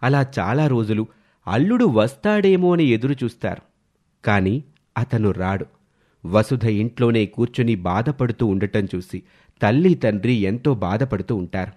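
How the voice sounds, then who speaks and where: A man's voice: lowish voice, expressive, normal speed, a little mellow. A man narrating a scripted story in the role of storyteller.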